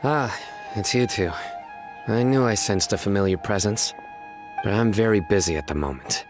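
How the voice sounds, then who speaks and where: A man voicing a serious young lawman in a video game, calm and clipped. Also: on the low side, moderate pace, music in the background, lightly acted. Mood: stern.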